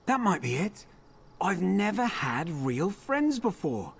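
Refined, wistful game gentleman, fairly high and animated, unhurried delivery. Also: gravelly, slightly rough, full character voice. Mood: wistful, musing. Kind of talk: scripted fantasy game voice acting.

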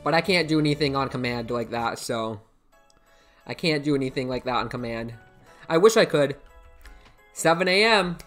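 A man's voice: mid-range voice, expressive, normal speed, long pauses, fairly bright tone, faint music behind. A young American man hosting a live game stream, chatting casually, reading out chat messages and thanking viewers by name over a headset mic. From United States. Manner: rambling, repeats himself, thanks viewers by name. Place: indoor room at a computer.

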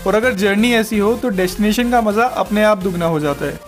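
A man with a high voice narrates a travel video as its host, reading a polished script in a smooth, upbeat tone. He recommends things warmly and confidently. A faint music bed runs under his voice. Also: brisk.

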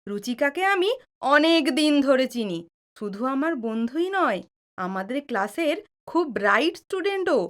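Woman playing a bright-voiced, cheeky young daughter. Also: sits high, lively intonation, normal speed. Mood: insistent. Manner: teasing, mixes in English. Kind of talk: audio drama acting.

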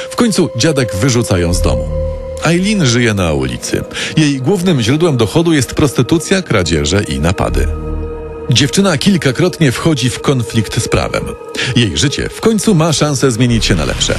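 A man narrating a scripted true-crime radio programme as a dramatic, present-tense storyteller. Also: mid-range voice.

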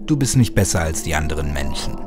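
A man's voice: rumbling low, very animated, fast talker, over music, voice pushed down. Man acting a cold, menacing shadow killer. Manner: menacing, accusing.

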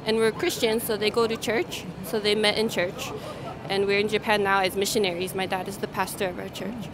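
A woman in her twenties, interviewed on a street at night, soft-spoken, with fillers. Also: medium pitch, little pitch movement, a little fast, a crowd in the background, rough recording. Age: 20s. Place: outdoors on a busy city street at night. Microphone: handheld mic. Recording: clear background music on a street.

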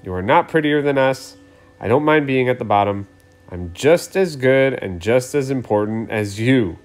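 A man's voice: mid-range voice, animated, moderate pace, soft background music, close to an everyday voice. A man voicing an argumentative, indignant female green traffic light in a children's storybook, firmly retorting. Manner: firm, retorting.

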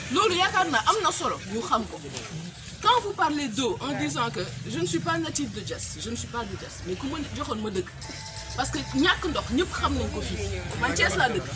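A woman's voice: high voice, brisk, loud music behind the voice. A middle-aged Senegalese woman, a water company manager, speaks animatedly to a community meeting outdoors, mixing Wolof and French and defending her company's work. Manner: animated, switching between Wolof and French, gesturing with papers. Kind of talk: public address at a community meeting. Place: outdoors under a tree before a crowd. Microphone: far camera, no mic. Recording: loud outdoor noise, distant camera.

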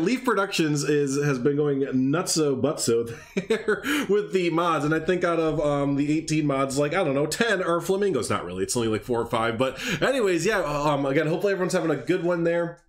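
A man with a congested, nasal voice hosting a casual live stream, chatting in a rambling way with lots of fillers. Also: on the high side. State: congested, stuffed up. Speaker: streamer hosting a game showcase.

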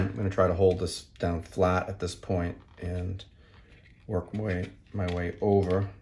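A man narrating a hands-on repair tutorial in a casual, unscripted way, explaining step by step in a halting manner. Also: low voice, a little hiss or hum. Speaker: tutorial host.

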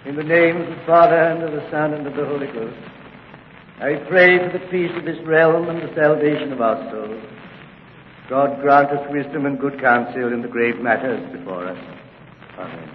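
A man's voice: medium pitch, normal speed, a little hiss or hum, close to an everyday voice. A man playing a devout, dignified medieval archbishop in an old radio drama, intoning ceremonially. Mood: solemn. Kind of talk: 1950s radio drama acting. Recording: echoing, muffled vintage radio recording.

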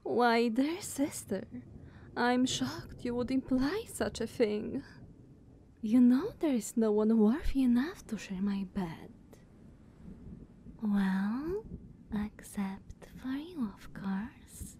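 Woman as playful vampire sister, highish breathy voice, slow purring delivery, coy, teasing, ASMR audio roleplay. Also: animated, slight background noise. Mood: sultry, affectionate. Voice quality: breathy, often whispery. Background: soft ambience.

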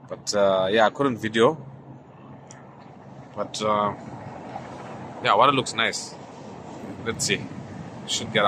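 South African man on a windy beach. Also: fairly low pitch. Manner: relaxed, rambling, filler-heavy. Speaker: fishing vlogger. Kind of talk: casual vlog narration. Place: open beach by the surf. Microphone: handheld camera mic. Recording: windy beach with loud surf.

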